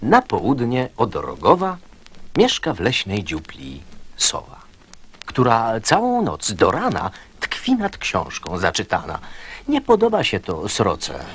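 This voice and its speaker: Husky man narrating verse with dramatic swoops. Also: fairly low pitch, huge pitch swings, slow. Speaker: narrator of children's poems. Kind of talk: theatrical verse storytelling, radio recording.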